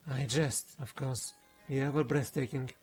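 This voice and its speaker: A man's voice: medium pitch, fairly even intonation, moderate pace, darkish tone, slightly rough, soft background music, lightly acted. A man playing a sly, suave aristocratic swindler in a theatrical period game fandub. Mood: teasing. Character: smooth, sly aristocratic male swindler, a Comte.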